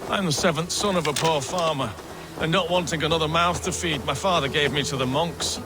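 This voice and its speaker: High-voiced, nervous, stammering runaway monk played by a man. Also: noisy background, natural voice. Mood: nervous, rueful. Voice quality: stutters when nervous. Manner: blustering, halting, self-doubting. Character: nervous, bumbling peasant-born runaway monk posing as a thane. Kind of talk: video game character acting.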